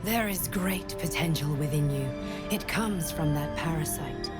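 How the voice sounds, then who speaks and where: An actress playing a gentle, protective guardian figure in a video game who speaks in a soft, reassuring and earnest way. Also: female voice, low voice, a little slow, loud music behind the voice. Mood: urgent. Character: gentle, protective dream guardian urging the hero.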